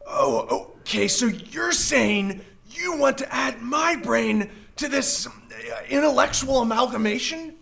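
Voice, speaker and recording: Man playing a high, creaky, bewildered husband. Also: expressive, takes it slowly, few pauses, fairly bright tone, full character voice. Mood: puzzled, indignant. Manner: incredulous, blurting comic reactions. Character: bewildered, exasperated husband, captive computer programmer. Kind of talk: live comedic radio drama acting. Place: theater stage before an audience.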